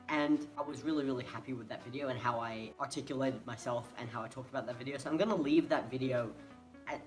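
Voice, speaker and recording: A young man from Hong Kong talks to his phone camera in a loose, rambling vlog, often stopping and starting over as he searches for words. His pitch sits in a middle range. Faint music plays in the background. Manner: hesitant, rambling, restarts often. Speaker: vlogger.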